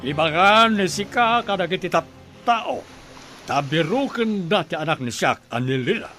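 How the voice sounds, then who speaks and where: A man's voice: high voice, expressive, moderate pace, bright, forceful tone, soft background music, heavily acted. A man playing a domineering rich father in an audio drama, with a blustering, commanding manner. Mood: grudging, commanding. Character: domineering rich father, a gruff island boss.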